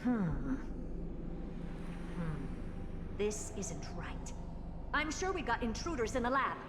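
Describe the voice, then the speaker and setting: A woman's voice: mid-range voice, very animated, slow pace. An actress playing a haughty, eccentric woman scientist in a video game, theatrical and imperious, muttering to herself. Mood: annoyed, suspicious. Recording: roomy echoing hall with noise.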